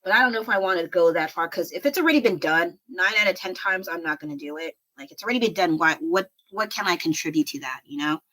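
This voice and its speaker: A woman with a fairly low yet bright, forward voice chats casually at her home desk as she draws. She rambles from thought to thought, pokes fun at herself and laughs often. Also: lively intonation, quick pace, few pauses, low-quality recording. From United States. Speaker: livestreaming artist. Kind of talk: casual chat while drawing.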